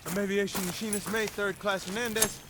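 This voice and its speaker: Guy as a polite young-sounding navy machinist, high voice, noisy background, game cutscene. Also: fairly even intonation, brisk, natural voice. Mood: earnest. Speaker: navy aviation machinist character. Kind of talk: cinematic war game acting.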